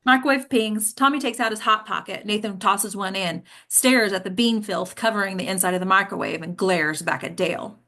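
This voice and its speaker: A woman's voice: medium pitch, brisk, hardly any pauses, bright, forceful tone. A woman reading stage directions as scripted narration at a table read.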